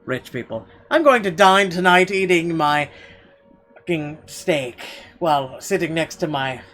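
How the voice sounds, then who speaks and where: A man's voice: on the high side, animated, unhurried, fairly bright tone. A man hosting a gaming video, reading game narration aloud and adding casual, irreverent, profane reactions. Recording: game music clearly behind the voice. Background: game music.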